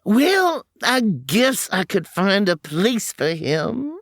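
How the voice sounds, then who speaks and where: A woman's voice: medium pitch, big pitch swings, slow pace, dry recording, studio quality, full character voice. A woman playing a flustered older landlady who hesitates and stammers, in a comedy audio drama. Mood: reluctant.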